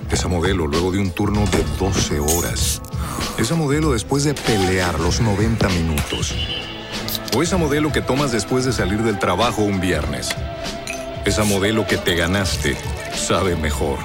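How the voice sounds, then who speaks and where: A man delivers a scripted commercial narration in a low register. He speaks as an advertising announcer over a loud bed of music. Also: animated, moderate pace.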